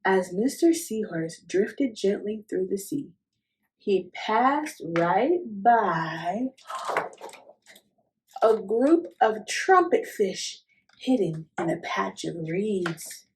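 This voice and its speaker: Animated, unhurried woman reading to children. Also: medium pitch, noisy background. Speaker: children's storytime host. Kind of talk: expressive picture-book read-aloud.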